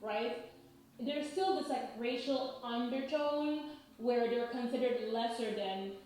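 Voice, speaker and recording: A woman in her thirties from Haiti, explaining in a measured, lecturing way and often checking in with "right?". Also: on the high side, darkish tone. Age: 30s. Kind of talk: explanatory talk. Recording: very echoey room.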